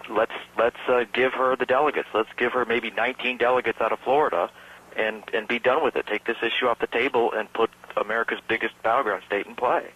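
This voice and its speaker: Bright, husky man on a dull phone line. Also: medium pitch, fairly even intonation, a little fast. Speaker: newspaper reporter. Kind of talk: asking a question on a press call. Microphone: telephone.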